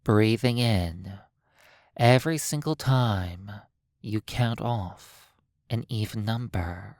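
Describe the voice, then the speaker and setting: A hypnotist narrating a guided sleep session in a soothing manner, leaving long pauses between short phrases. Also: mid-range voice, darkish tone, no echo, clean studio sound.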